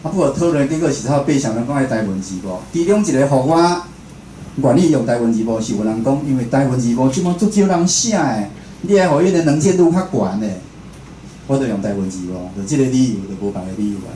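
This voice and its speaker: Man, Taiwanese accent, informal lecture, mellow voice, conversational, questioning, roomy echo, faint noise. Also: medium pitch, lively intonation, fast. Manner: conversational, puts questions to the audience. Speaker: speaker, radio station director and show host. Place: indoor talk venue.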